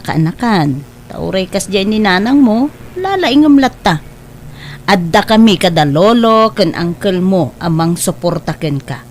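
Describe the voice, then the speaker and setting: A woman voices a warm-hearted middle-aged aunt with a mid-pitched voice. She speaks gently and encouragingly to her family in a family radio drama. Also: slight background noise, natural voice. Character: caring middle-aged aunt and mother, supportive. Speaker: voice actor.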